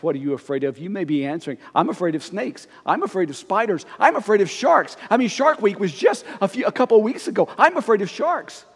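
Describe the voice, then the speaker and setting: A middle-aged man, a pastor, preaching a conversational, expressive sermon while standing before the altar. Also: sits high. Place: church sanctuary before the altar. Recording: long reverb of a church sanctuary.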